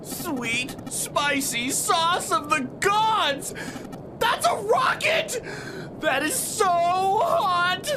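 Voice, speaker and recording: A woman voicing an excitable, awestruck young schoolkid in a game fan dub, full of drawn-out exclamations. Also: extremely high pitch, noisy background, exaggerated voice. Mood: amazed, excited. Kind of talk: scripted fan dub acting. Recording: music behind the voice.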